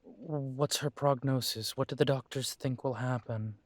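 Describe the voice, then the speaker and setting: A young man playing a restless grown son coming home, halting at first and then pressing. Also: mid-range voice, fairly even intonation, dry recording, natural voice. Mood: upset. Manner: hesitant, then pressing and insistent.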